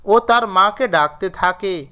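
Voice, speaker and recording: A man's voice: high-pitched, takes it slowly, bright, forceful tone, clear, resonant tone. A man narrating a scripted children's story as the storyteller.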